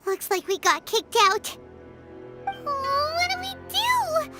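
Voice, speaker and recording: A woman voicing a small, childlike fairy companion in a video game, squeaky, chatty, fretful and excitable. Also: slow pace, no echo, exaggerated voice. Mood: worried. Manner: chatty, exclamatory, worrying aloud. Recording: loud game music behind.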